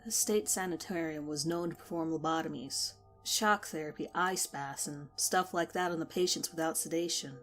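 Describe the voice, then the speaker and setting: A woman's voice: deep voice, moderate pace, few pauses. A woman reading a scripted first-person horror story as narrator. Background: music.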